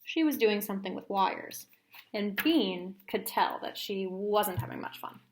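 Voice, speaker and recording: A woman's voice: medium pitch, expressive, unhurried, a little hiss or hum. A woman narrating a children's story aloud, as a teacher reading to pupils.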